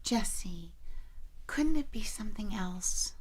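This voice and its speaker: An actress playing a concerned, tentative young college friend in an audio drama, speaking hesitantly and carefully. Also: female voice, medium pitch, expressive, takes it slowly, slightly rough.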